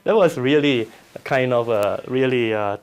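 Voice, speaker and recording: A man's voice: mid-range voice, unhurried, clean tone. A man recalling something in an interview, speaking hesitantly and repeating words. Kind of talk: halting spoken interview recollection.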